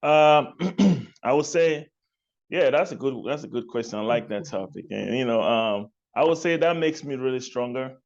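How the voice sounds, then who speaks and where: Man from Cameroon, relaxed interview guest, mid-pitched, dull lo-fi audio. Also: moderate pace. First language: not English. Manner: relaxed, repeats phrases, laughs lightly between points. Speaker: guest, music artist and label executive. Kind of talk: interview answers.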